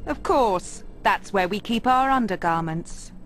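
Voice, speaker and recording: An actress playing a defiant, sarcastic Templar captive in a video game, with a scornful, sardonic manner. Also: female voice, mid-range voice, lively intonation, measured pace, faint music behind, close to an everyday voice. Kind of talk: video game cutscene acting.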